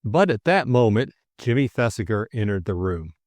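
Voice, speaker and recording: Animated male audiobook narrator. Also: on the low side, normal speed, no room sound. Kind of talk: scripted story narration.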